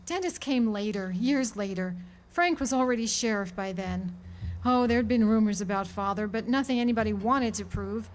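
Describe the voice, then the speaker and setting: A woman's voice: mid-range voice, moderate pace, natural voice. A woman playing a guilt-laden, defiant small-town woman in a radio drama, confessing with earnest, dramatic delivery. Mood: pleading confession. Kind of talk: radio drama acting, 1940s setting. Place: live amateur radio drama performance at an amphitheater.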